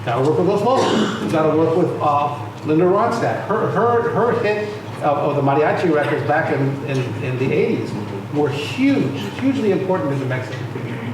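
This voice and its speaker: A man's voice: on the high side, animated, brisk, few pauses. A middle-aged man speaking as a panel guest, conversational and storytelling, with frequent restarts. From United States. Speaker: panel guest, musician and arts center founder. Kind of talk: panel discussion. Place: lecture room on stage. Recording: very echoey room, distant mic, noisy.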